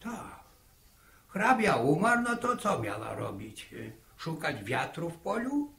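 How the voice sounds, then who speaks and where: An old country grandfather in a vintage radio play. His voice is creaky and swoops widely as he rambles through tales, stumbling over and repeating words with good humour. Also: fairly high pitch, big pitch swings, bright, forceful tone, heavily acted. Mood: amused reminiscing. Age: older. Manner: halting, repeating words, chuckling storyteller. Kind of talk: 1960s Polish radio drama acting. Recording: 1960 archive radio drama recording.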